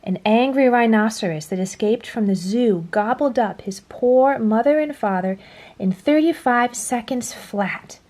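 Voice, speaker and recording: A woman reading a children's story aloud in a dramatic storytelling style, dropping to a hush at phrase endings. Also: a little high, measured pace. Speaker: storybook reader. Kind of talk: expressive children's read-aloud narration.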